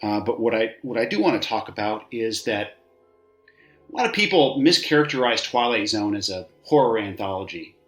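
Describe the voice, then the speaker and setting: A man's voice: lowish voice, animated, normal speed, faint music behind. A man giving casual, off-the-cuff spoken commentary as a listener. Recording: echoey, roomy sound.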